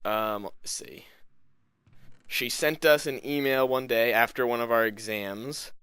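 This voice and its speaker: A man's voice: mid-range voice, clean tone, dry recording, studio quality. A young man doing a casual storytelling voiceover in a slangy, griping way while sick and starting to lose his voice. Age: young adult. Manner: slangy, animated griping with acted-out quotes. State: sick, losing voice, coughing. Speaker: gaming video host.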